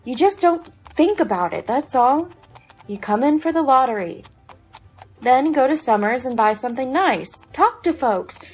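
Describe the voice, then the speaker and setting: A woman's voice: high voice, expressive, normal speed, darkish tone, husky, faint music behind. A woman playing a chatty, practical farm wife in a radio drama, folksy and scolding. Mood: scolding, coaxing.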